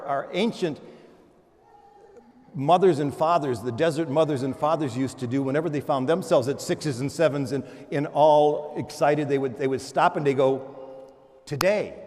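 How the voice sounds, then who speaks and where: A man giving a homily as a priest, animated, moving between loud exclamations and hushed murmurs, often repeating words and restarting in a conversational way. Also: fairly high pitch. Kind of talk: sermon. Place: large church. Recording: long church reverb.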